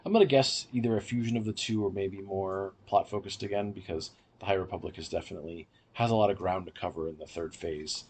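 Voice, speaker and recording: Low, husky-voiced male podcast host. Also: fairly even intonation, quick pace, hardly any pauses, a little mellow. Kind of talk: casual media review discussion.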